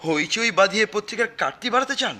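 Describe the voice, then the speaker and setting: A man's voice: sits high, expressive, fast, bright, forceful tone. A man playing a proud, principled, touchy poet in a radio play, polite but pointed. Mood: indignant. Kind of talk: 1990s radio play acting.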